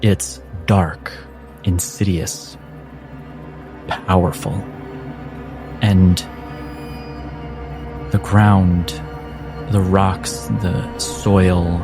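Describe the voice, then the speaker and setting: A man narrating a tabletop game as game master, with deliberate phrasing and dramatic pauses. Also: deep voice, flat delivery, music in the background.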